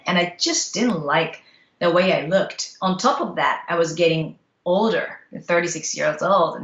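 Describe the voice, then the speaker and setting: A woman's voice: lowish voice, a bit bright, echoey room, lo-fi sound. A middle-aged woman from Japan with a Japanese accent, speaking as an interview guest in an earnest, reflective way. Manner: earnest, reflective, simple English phrasing. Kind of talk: casual interview talk.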